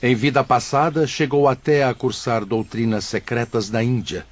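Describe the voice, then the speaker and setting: A man's voice: medium pitch, fairly even intonation, normal speed, lightly acted. A Brazilian man playing a solemn, benevolent spirit mentor in an audio drama, speaking in a formal, paternal way. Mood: warm, reassuring. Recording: dull, muffled lo-fi audio.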